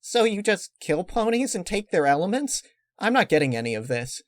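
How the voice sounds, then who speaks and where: Bubbly young mare played by a male reader, pitched well up, childish and defiant, for a dark storybook reading, close dry sound. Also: big pitch swings, normal speed, no echo. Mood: confused, doubtful. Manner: childlike, exclaiming, indignant. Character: bubbly, naive, defiant young female cartoon pony.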